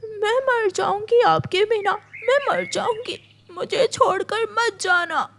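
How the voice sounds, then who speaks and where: Woman voicing a high-pitched pleading cartoon cow wife. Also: slow, gravelly, fairly bright tone, a little hiss or hum, exaggerated voice. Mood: tearful, desperate. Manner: pleading, plaintive. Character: tearful devoted cow wife pleading for husband.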